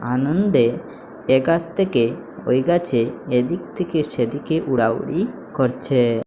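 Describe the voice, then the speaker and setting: A woman's voice: extremely low pitch, takes it slowly, warm tone. A woman narrating a scripted children's story as a storyteller, over a noisy background. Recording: noticeable background noise, ambience.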